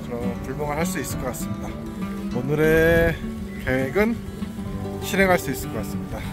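A man's voice: fairly high pitch, expressive, fairly quick. Man narrating a vlog over music. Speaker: camping vlogger. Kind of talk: travel vlog narration, partly scripted voice-over, partly on location. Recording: background music under the voice.

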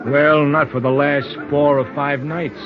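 An actor playing a chatty, cheerful hipster bartender and manager in an old-time radio drama, with an American accent, jive slang and showy big words. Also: male voice, a little high, flat delivery, unhurried, noisy background, natural voice. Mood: gossipy, amused. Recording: muffled old radio broadcast, music behind.

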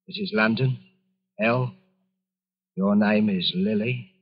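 A man's voice: lowish voice, slow pace, slightly rough. Meek troubled salesman on muffled old radio. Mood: brooding, menacing. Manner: hesitant, polite, brooding. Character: meek, troubled travelling salesman accused of murder. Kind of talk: 1940s radio drama acting.

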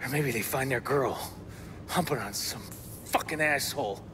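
A man's voice: mid-range voice, moderate pace, background noise, close to an everyday voice. A man playing a wounded, cynical American rogue operative in a video game, ranting profanely and defiantly. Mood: bitter. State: badly wounded, coughing. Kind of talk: video game cutscene acting.

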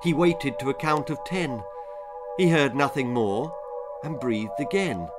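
A man in his sixties reading a children's story aloud in a theatrical, suspenseful, deliberate narration. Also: medium pitch, loud music behind the voice. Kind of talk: dramatic storytelling, scripted narration. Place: small book-lined room indoors.

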